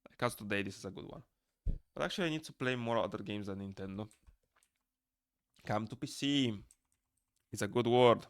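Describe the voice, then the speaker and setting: A man's voice: on the low side, moderate pace, pauses often, no echo, clean studio sound. A young man from Moldova with a Moldovan accent, chatting casually with his viewers while he draws on a live stream, reading their messages aloud and answering them. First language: Romanian. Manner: rambling, reads chat aloud and answers it. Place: small room indoors.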